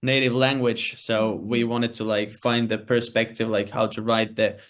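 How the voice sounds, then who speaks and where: A man's voice: fairly low pitch, flat delivery, moderate pace, fairly bright tone. A man from Finland with a Finnish accent, a musician guest on a podcast, speaking English as a second language and laying out his points step by step with lots of "like" fillers. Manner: thoughtful, lists points with many "like" fillers. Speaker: band member, guest. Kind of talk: podcast interview answers.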